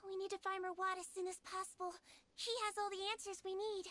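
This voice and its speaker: A woman's voice: very high-pitched, flat delivery, fast talker, pushed and bright. A woman voicing a young, cute, earnest girl nurse in a video game dub, with a sweet, bubbly, childlike delivery. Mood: curious.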